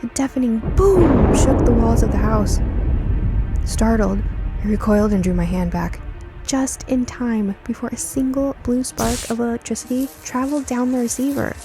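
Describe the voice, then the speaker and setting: A woman's voice: fairly high pitch, normal speed, warm tone, faint music behind. A woman narrating a scripted first-person story in the style of a nostalgic radio drama. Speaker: narrator, writer and producer of the show.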